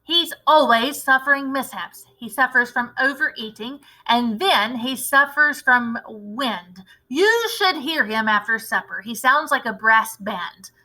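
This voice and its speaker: A woman's voice: fairly high pitch, animated, a little slow, hardly any pauses, pushed and bright, full character voice, low-quality recording. A woman voicing a very large, coarse, impatient man in a storybook, brusque and pompous in manner. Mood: irritated. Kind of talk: storybook read-aloud character voice.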